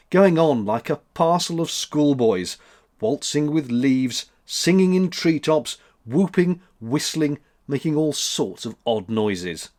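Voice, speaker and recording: A man reading a classic story aloud as an expressive storyteller. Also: mid-range voice. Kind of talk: expressive scripted story narration.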